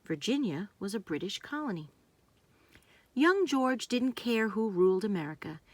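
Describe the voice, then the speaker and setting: A woman narrating a children's storybook, reading aloud in simple, deliberate phrases meant for young listeners. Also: medium pitch, very animated, no echo.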